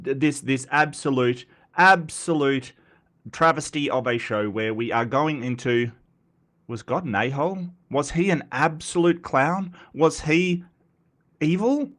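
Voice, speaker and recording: A man's voice: a little high, unhurried, a bit bright. An Australian man hosting a live stream, nasal and congested from a cold, rambling and joking with frequent stammered restarts. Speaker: host of a live atheist commentary stream. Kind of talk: casual live-stream chat.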